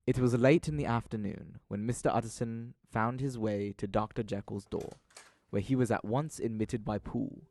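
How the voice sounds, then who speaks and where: Low-voiced male audio drama narrator. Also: warm tone, no room sound. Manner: formal, literary delivery.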